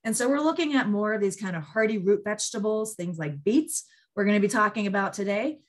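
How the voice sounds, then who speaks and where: A woman's voice: medium pitch, expressive, fairly quick. A woman hosting an online group call, presenting in an informal, conversational webinar style. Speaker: host of an online monthly call.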